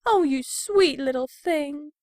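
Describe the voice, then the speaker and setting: A woman's voice: sits high, takes it slowly, darkish tone, dry recording, clean studio sound. A woman voicing a refined, dramatic, affectionate female pony with a genteel, theatrical manner in a cartoon audio drama. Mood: doting. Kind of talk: animated fan audio drama.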